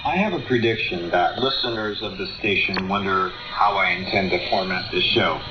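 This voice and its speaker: A man's voice: deep voice, normal speed. A man from California, a radio host, reading a scripted promo for a show. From California, United States. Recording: dull lo-fi shortwave radio broadcast. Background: loud music.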